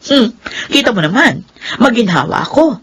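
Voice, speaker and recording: Brash, streetwise young woman, radio drama; high, very animated, coaxing. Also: moderate pace, breathy edge, exaggerated voice. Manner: frank, pushy, animated. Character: worldly, brash young woman coaxing her naive friend. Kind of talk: Filipino radio drama acting.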